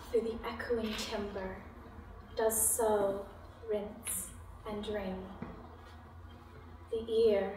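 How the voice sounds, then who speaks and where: A woman reads poetry aloud extremely slowly, in short, deliberate phrases. She is some way from the mic in an echoey room. Her voice sits at a medium pitch. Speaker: poetry reader. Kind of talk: slow poetry recitation. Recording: echoey room, distant mic, faint noise.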